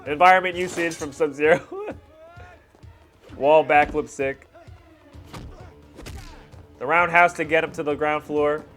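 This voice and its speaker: A man's voice: on the high side, fairly even intonation, takes it slowly, pauses often, fairly bright tone, faint music behind. A man hosting a reaction video, giving casual, slangy fan commentary on fight scenes. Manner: informal slangy fan talk.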